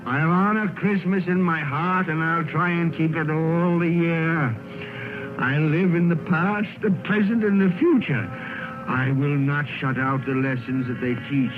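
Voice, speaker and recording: An actor playing a terrified, repentant old miser in an old radio play, with a quavering, pleading delivery. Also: male voice, fairly high pitch, unhurried, lightly acted. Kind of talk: 1930s radio drama acting. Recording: tinny old radio broadcast with music.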